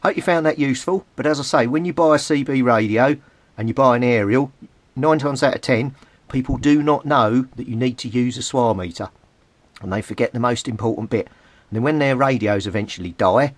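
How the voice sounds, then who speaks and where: A man's voice: mid-range voice, dry recording. A British man giving an informal, hands-on tutorial, explaining things step by step and repeating the key points. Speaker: CB radio hobbyist giving a tutorial. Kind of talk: informal hands-on instructional talk.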